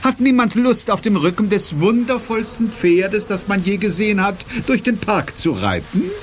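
A man's voice: sits high, very animated, normal speed, a little hiss or hum. A man playing a vain, cheerful, gallant talking horse in a children's audio drama, boastful and flamboyant. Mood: grandly cheerful.

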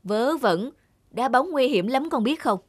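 A woman's voice: high-pitched, animated, unhurried, heavy background noise. A fussing, overprotective cartoon mother. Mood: scolding, worried. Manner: fussing, scolding. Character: anxious, overprotective, doting mother of a sickly son. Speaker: cartoon voice actor. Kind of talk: animated moral-tale dubbing. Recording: loud background music. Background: music.

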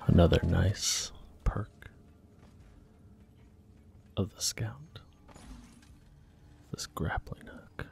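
Man gently whispering game commentary. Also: sits low, drawn-out words, gravelly, pauses often. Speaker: ASMR gaming channel host. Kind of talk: soft-spoken ASMR gameplay commentary.

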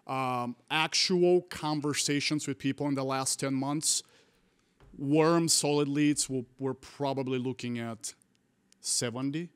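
A young man from Bulgaria with a Bulgarian accent, talking earnestly and haltingly as a podcast guest on a studio mic. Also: mid-range voice, fairly even intonation, clean tone. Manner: earnest, halting, restarts often. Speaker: guest, real estate wholesaler. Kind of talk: casual interview talk. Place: studio set with mountain mural. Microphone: studio mic on boom arm.

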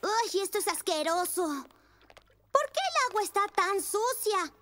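A woman's voice: very high voice, big pitch swings, slow pace, a bit bright, slight background noise, full character voice. A woman voicing a blunt, exclamatory little schoolgirl in a cartoon dub, with music behind. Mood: disgusted. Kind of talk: Spanish cartoon dub acting.

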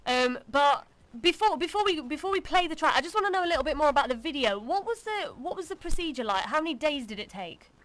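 A woman with a fairly high voice hosts a live radio interview. She sounds upbeat and encouraging, keeping the style casual and conversational. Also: lively intonation, fast, hardly any pauses, fairly bright tone, poor audio. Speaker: radio host, DJ.

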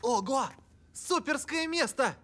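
A man's voice: very high voice, animated, takes it slowly, pushed and bright, heavily acted. A man voicing a curious, enthusiastic young footballer in a cartoon, eager and teasing. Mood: amazed, teasing. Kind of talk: animated cartoon dub.

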